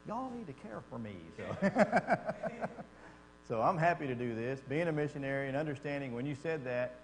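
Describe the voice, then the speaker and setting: A man's voice: on the high side, animated, normal speed. Texan man, Bible class teacher, folksy and chatty; echoey hall, faint mains hum. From Texas. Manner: folksy and conversational, asks the class questions. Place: church classroom.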